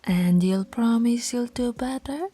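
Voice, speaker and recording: A woman's voice: fairly high pitch, measured pace, mellow, breathy edge. A woman voicing a dominant female werewolf pack alpha in an audio roleplay, teasing and commanding, often dropping to a whisper. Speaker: voice actress.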